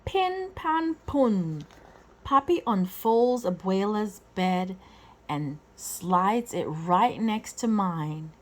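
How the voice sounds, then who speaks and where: Lady doing a storybook read aloud, slow n careful, super expressive pitch, acts out the lines. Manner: deliberate phrasing, acts out dialogue.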